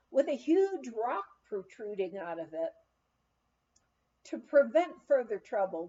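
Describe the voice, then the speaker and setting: Female memoir narrator: mellow, high-pitched, expressive storyteller, slightly dull recording. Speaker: audiobook reader of a memoir. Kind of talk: scripted narration, reading a memoir aloud.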